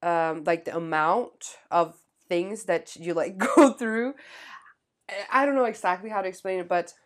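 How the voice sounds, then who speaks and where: A young woman co-hosting a podcast, talking fast and animatedly with lots of fillers. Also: fairly low pitch. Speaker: podcast co-host. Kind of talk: casual chat.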